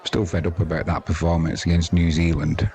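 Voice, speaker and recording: A man's voice: sits low, flat delivery, a little fast, darkish tone. A man giving casual, chatty live cricket commentary in an informal, self-deprecating way.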